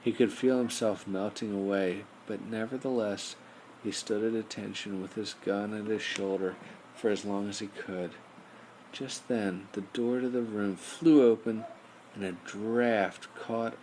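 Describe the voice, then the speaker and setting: Male storybook narrator, lowish voice, measured bedtime read, amateur home recording with some noise. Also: fairly even intonation, a little mellow, low-quality recording. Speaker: audiobook narrator.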